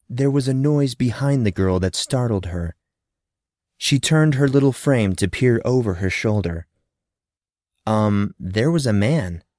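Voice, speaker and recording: Male storyteller for scripted fiction: medium pitch, expressive dramatic narration, dry studio-like sound with no room echo.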